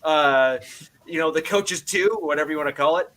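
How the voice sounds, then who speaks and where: A man hosting a casual sports podcast over a video call, loud-voiced and loose, filling his sentences with "uh". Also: fairly high pitch, moderate pace. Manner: loose, filler-heavy, frequent "uh". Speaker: podcast host.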